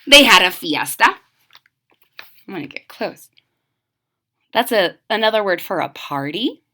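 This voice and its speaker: Female storybook reader: bright, unhurried, dramatic, pitched for young children. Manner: dramatic, explains to young listeners. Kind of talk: expressive children's read-aloud.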